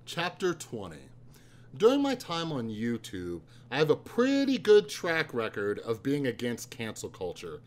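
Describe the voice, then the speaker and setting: A man in his thirties reading a chapter of his own book aloud in a steady, subdued tone. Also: medium pitch, measured pace. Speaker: author.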